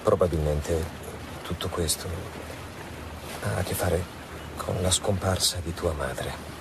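An actor playing a reassuring, smooth-talking suitor of about thirty in an Italian radio drama. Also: male voice, sits low, steady tone, slow pace, music in the background, full character voice. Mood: reassuring, explaining. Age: 30s. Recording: radio drama with clear ambient noise.

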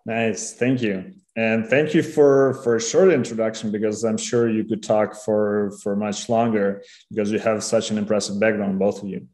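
Thirties guy hosting, mellow voice, kinda hesitant, echoey room on laptop mic. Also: lowish voice, steady tone, normal speed, hardly any pauses. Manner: brief, hesitant questions with repeated words. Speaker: host. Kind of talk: video call interview. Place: room at a desk. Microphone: laptop on video call. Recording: roomy echo.

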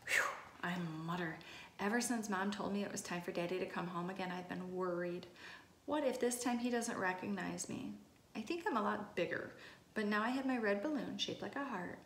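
A woman reads a children's storybook aloud with expression, in a mid-range voice. The sound is roomy and echoey, with noise behind her. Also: medium pitch. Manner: expressive, acting out exclamations and dialogue. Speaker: storybook reader. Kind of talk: children's picture book read-aloud. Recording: echoey room, clear background noise.

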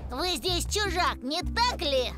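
A very high-pitched, cheeky little boy in a cartoon dub. This crafty young philosopher talks in a pompous, formal way over clear music. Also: animated, slow, heavily acted. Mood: haughty. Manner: pompous, formal, proverb-quoting speech. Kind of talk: animated cartoon dub acting. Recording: music behind the voice.

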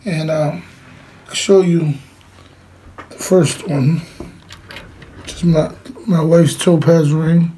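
A middle-aged man with a noticeably high-pitched voice talks through a hands-on demonstration. He is informal and plain-spoken. The home recording has clear background noise, picked up by a camera across the table. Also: fairly even intonation, slow, pauses often, mellow, low-quality recording. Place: indoors, small room with cloth backdrop.

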